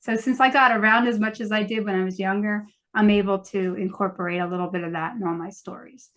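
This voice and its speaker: A woman's voice: medium pitch, steady tone, fast talker. An American woman, a novelist, talking casually as a guest on a podcast. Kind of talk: casual interview chat.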